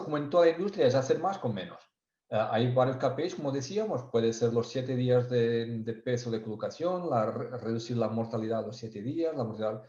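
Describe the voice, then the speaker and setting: Portuguese guy doing a tech webinar in spanish, halting, lots of restarts, mellow voice, echoey dull call audio. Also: mid-range voice. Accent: Portuguese, Spanish learned in Spain and Galicia. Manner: halting, many restarts and searching for words. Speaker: sales engineer presenting a webinar. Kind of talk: technical webinar presentation.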